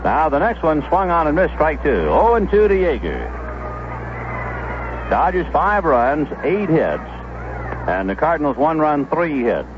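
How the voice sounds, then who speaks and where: A man's voice: on the high side, sing-song, measured pace, long pauses, dull audio, noisy background. A man giving live play-by-play baseball commentary as a radio announcer on a 1970s broadcast.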